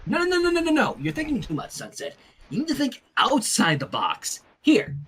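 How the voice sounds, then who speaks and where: A man's voice: very high-pitched, wildly swooping pitch, brisk, a bit bright, husky, voice pushed up, exaggerated voice. A man voicing a brash, cocky young woman with a casual, confident and slangy way of talking in a story reading.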